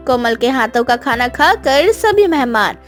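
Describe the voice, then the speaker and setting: High-pitched woman narrating a story. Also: animated, unhurried, faint music behind.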